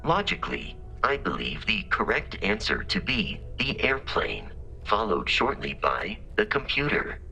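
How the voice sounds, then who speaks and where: An actor playing a polite, literal-minded exploration robot in a video game, speaking in formal, precise, measured deadpan. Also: male voice, on the low side, creaky, a little hiss or hum, exaggerated voice, poor audio. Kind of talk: scripted video game character dialogue.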